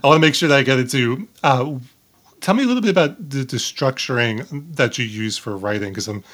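A man hosting an interview in casual conversation, informal and halting, often repeating words. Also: medium pitch, animated, fairly bright tone. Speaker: podcast host, interviewer.

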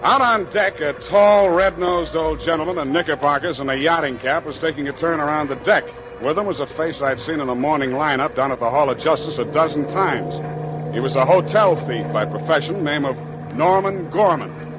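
An actor playing a wry, hard-boiled private detective, wisecracking in 1940s radio noir style. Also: male voice, fairly high pitch, a little fast, natural voice. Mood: wry, narrating. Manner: deadpan, wisecracking. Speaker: narrator and lead. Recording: dull old radio recording, music bed.

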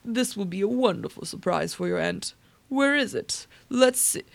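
A woman playing an irascible, blustering old rich uncle with a creaky voice in a comic radio drama. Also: mid-range voice, lively intonation. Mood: eager, hearty. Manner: emphatic, halting repetitions when indignant.

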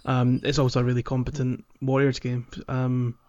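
A man's voice: medium pitch, completely flat delivery, normal speed, a little mellow. Man chatting, slightly dull lo-fi sound. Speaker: podcast host. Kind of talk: casual chat.

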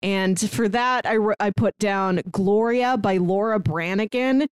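A woman's voice: mid-range voice, measured pace, clean tone, dry recording, studio quality. An American woman co-hosting a podcast in a casual recap chat, informal and enthusiastic, sprinkling in "like" often. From St. Louis, United States. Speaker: podcast co-host.

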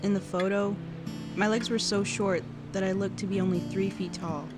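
A woman's voice: mid-range voice, fairly even intonation, normal speed, lightly acted. A woman playing a wistful, awkward seventeen-year-old girl who muses hesitantly into an audio diary in a fiction podcast. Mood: wistful, nostalgic. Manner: reflective, hesitant, self-correcting teenage musing. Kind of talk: intimate first-person cassette diary narration. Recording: music under the voice. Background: guitar music.

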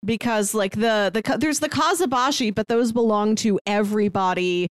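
A woman's voice: medium pitch, normal speed, dry recording. Female podcast co-host, dry close sound. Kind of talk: casual podcast chat.

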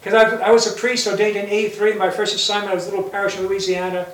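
A man's voice: sits high, little pitch movement, racing. A man in his sixties from New York, chatting casually and jovially as a host and priest, often repeating his words. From New York, United States. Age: 60s. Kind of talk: casual chat. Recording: roomy, distant mic, faint noise.

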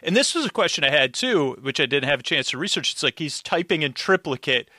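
Bright, rambling man on a podcast. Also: a little high, very animated, fast, no room sound, studio quality. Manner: rambling, enthusiastic, quotes his own reactions. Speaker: podcast guest. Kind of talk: casual recap podcast chat.

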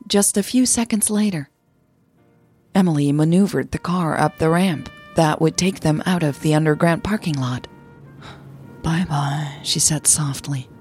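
A woman narrating a scripted audio drama in a soft, breathy delivery. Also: low-pitched, normal speed, faint music behind.

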